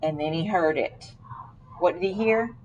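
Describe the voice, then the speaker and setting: A woman reading a picture book aloud to a young child, expressive and prompting with questions. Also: on the low side, normal speed, muffled recording, noisy background.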